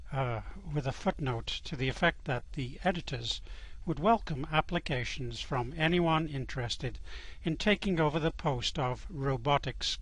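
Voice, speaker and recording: A man performs as a guidebook narrator in a radio play. His voice sits fairly high, and he reads scripted definitions in a dry, matter-of-fact way. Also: a bit bright, no room sound, poor audio.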